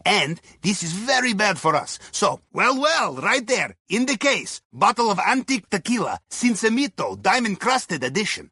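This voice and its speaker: A man playing a wry Russian submarine navigator and heist advisor in a video game, with a Russian accent, dry dark humor and dropped articles. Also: high-pitched, breathy edge, no room sound, heavily acted. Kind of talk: video game mission dialogue.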